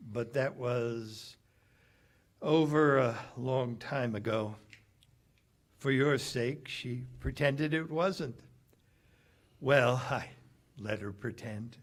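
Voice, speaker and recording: A man's voice: medium pitch, fairly bright tone. A man playing a tough, world-weary cynic with a terse manner in 1940s radio drama. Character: tough, world-weary, self-sacrificing American male cynic.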